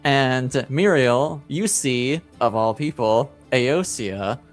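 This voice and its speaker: Man, game master, mid-range pitch, improvised narration, halting conversational delivery, background music.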